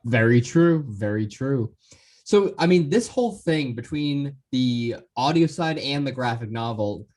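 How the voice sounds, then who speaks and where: A man's voice: medium pitch, darkish tone. A man hosting a podcast, asking casual, rambling interview questions.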